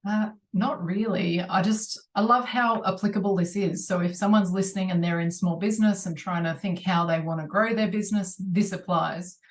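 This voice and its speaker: A woman's voice: mid-range voice, steady tone, moderate pace, hardly any pauses. A woman co-hosting a podcast, chatting casually and reflectively, recorded at a distance from the microphone. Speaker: podcast co-host.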